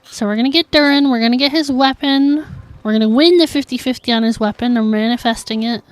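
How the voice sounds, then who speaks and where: Girl streamer w a highish voice, just chatting away with viewers while gaming, super informal. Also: quick pace. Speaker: video game streamer. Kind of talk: casual livestream chat while gaming.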